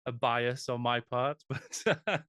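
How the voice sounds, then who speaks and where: A middle-aged man hosting an interview from home, friendly and quick to laugh, thinking aloud as he asks questions. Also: mid-range voice, fairly bright tone, clean tone, no room sound, studio quality. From England. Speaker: podcast host, interviewer. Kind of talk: casual conversational interview. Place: home room, indoors.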